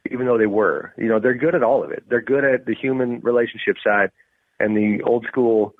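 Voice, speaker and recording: Low-voiced man, dry sound, music behind. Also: no room sound, narrow, tinny audio. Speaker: sports podcast host. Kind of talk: analytical sports commentary.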